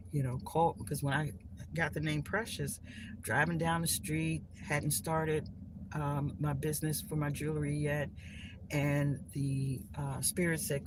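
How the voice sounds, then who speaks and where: A woman hosting a live stream from her phone at home, talking casually and loosely about spiritual lessons, with many restarts and fillers. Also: lowish voice. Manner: rambling, many restarts and "you know" fillers. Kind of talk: casual spiritual talk to viewers. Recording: clear background noise.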